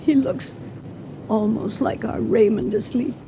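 High-voiced, fretful old wife played by a woman. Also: unhurried, warm tone, natural voice. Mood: resigned. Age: older. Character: fretful elderly wife, imaginative, deferential and spooked. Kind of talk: radio drama acting. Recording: noisy 1980 radio drama recording.

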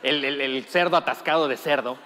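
A man narrating an improvised comedy story in an animated way, laughing and picking up suggestions from others. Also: medium pitch, brisk, big-room sound.

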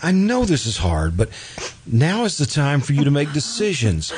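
Man acting an earnest, brooding lovesick man, swooping pitch. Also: wildly swooping pitch, darkish tone. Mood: urgent pleading. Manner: halting, pleading, repeats himself. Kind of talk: old-time radio drama acting. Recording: noisy amateur radio drama recording.

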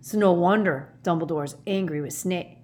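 A woman voicing a huge, shaggy, anxious man with a hoarse growl and slow rustic speech in a storybook. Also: on the low side, darkish tone, lightly acted. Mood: heavy.

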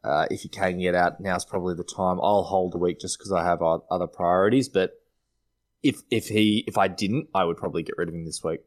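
A man's voice: low voice, fairly even intonation, a little fast. A man giving casual fantasy sport advice as a podcast panellist.

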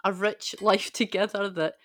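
A woman talks through ideas in a conversational panel discussion on faith. Her voice sits at a middle pitch and is recorded dry. She is emphatic, thinking aloud and posing questions as she goes. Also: steady tone, moderate pace, fairly bright tone, dry recording. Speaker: podcast panellist.

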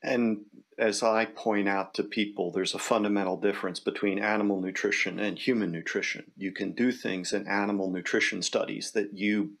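A man talks as an interviewer in a fairly low voice. His delivery is conversational, with a dry, wry streak of understatement. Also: steady tone, normal speed, vocal fry, fairly bright tone.

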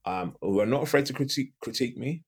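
A man's voice: mid-range voice, animated, fast talker, a little mellow, breathy edge. A middle-aged man from the UK, a podcast guest and coach, giving emphatic advice with a big voice, repeating his key phrases and asking rhetorical questions.

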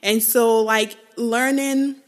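A young woman from West Africa talking alone about her life, halting and thoughtful, often restarting her phrases. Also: on the high side, steady tone. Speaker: podcast host. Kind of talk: reflective personal monologue.

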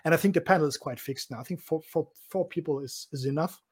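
A German man co-hosting a live video podcast, talking in a relaxed, rambling way with many restarts and laughs. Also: a little high, quick pace, husky. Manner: repeats words and restarts, laughs often. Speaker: podcast co-host. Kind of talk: casual chat.

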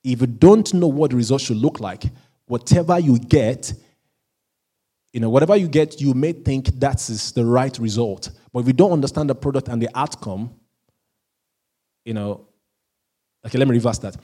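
A pastor preaching a sermon, often repeating and restarting phrases, and chuckling at himself now and then. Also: male voice, mid-range voice. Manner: rises into louder, slower emphatic reading of scripture.

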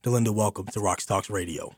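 Halting male radio host. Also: fairly low pitch, husky, no echo, clean studio sound. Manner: halting, repeats words, many uhs. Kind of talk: radio interview. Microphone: studio microphone.

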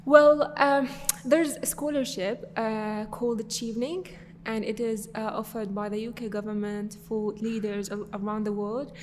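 A woman's voice: on the high side, steady tone, normal speed, few pauses, big-room sound, slight background noise. Hesitant young woman from Syria. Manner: hesitant, many fillers and restarts. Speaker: podcast guest. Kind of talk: casual interview answers.

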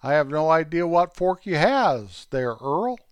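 A man's voice: fairly high pitch, big pitch swings, unhurried, smooth, no echo. A man doing a patient pharmacist. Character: friendly grown man pharmacist, patient and dutiful.

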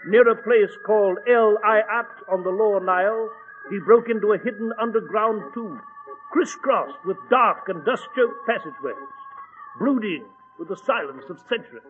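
Casting: courtly British gentleman narrator of detective memoirs, high voice, genial, 1940s radio style with audible hiss. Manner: courtly, measured storyteller. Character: Doctor Watson, genial English gentleman recounting his memoirs. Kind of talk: 1940s radio drama acting. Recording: noisy, tinny old radio recording.

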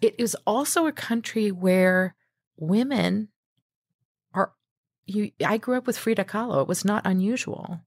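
A woman's voice: medium pitch, a little slow, no room sound, clean studio sound. A middle-aged woman who grew up in Mexico, talking casually as an interview guest, hesitant with many fillers and restarts.

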